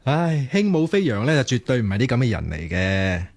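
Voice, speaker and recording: An earnest young student programmer with a mid-pitched voice and a Hong Kong Cantonese accent. He is lovelorn and wryly self-mocking, with a sighing delivery suited to radio drama banter. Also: big pitch swings, brisk.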